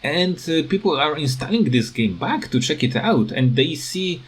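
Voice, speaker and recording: A tired man who is not a native English speaker, giving a casual unscripted rant in a halting, rambling way that repeats words. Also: on the high side, lively intonation, slightly rough. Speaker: gaming video creator.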